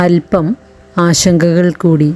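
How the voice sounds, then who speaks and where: Slow, mellow woman guiding a meditation. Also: lowish voice, little pitch movement. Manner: gentle, soothing, short phrases with long pauses. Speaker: meditation guide. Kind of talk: guided meditation narration. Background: background music.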